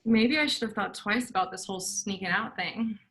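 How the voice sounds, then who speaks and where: A woman's voice: medium pitch, a little fast, a bit bright, lightly acted. A woman reading the part of a frank, frustrated teenage girl in a script table reading. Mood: uneasy. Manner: frank, exasperated, confessional. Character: blunt, frustrated teenage girl, high school junior. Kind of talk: table-read script acting.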